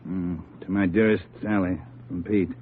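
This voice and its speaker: An American man acting a commanding police captain with a brisk, authoritative manner, in old radio style. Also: sits low, steady tone, close to an everyday voice. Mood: brisk, serious. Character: gruff, commanding male police captain driving his men hard. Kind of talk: 1940s-50s radio acting. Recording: tinny old radio recording, faint noise.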